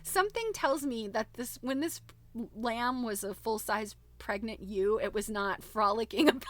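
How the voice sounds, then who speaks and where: A woman hosting a live book reading, reading aloud with expressive, giggly, chatty asides. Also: on the high side, moderate pace. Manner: giggly, reacts expressively to what is read.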